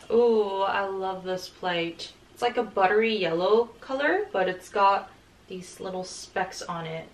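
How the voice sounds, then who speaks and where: A woman's voice: mid-range voice, a bit bright, slight background noise, poor audio. A young woman talking casually to camera in her home, upbeat and chatty, often saying "like.". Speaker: lifestyle vlogger. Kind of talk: casual vlog narration. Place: bright home room and kitchen, indoors.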